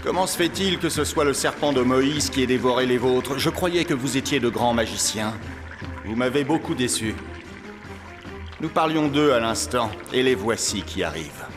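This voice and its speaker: A man playing a haughty, scornful, stubborn Pharaoh of Egypt with imperious delivery in an animated Bible story. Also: medium pitch, moderate pace, lightly acted. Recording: reverberant hall, music behind.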